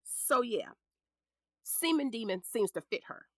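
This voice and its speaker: An actress with an American accent playing a sharp-tongued, protective middle-aged lawyer and scornful ex-wife in an audio drama, blunt and commanding. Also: female voice, fairly high pitch, animated, unhurried, dry recording, studio quality. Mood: wry scorn.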